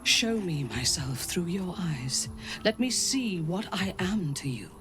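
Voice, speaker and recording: A woman playing a proud, vengeful, long-lived dark elf noblewoman in a video game, speaking in a measured, guarded, formal manner. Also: on the low side, big pitch swings. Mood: reflective, vulnerable. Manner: measured, deliberate, grave, formal phrasing. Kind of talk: dramatic video game acting. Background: background music.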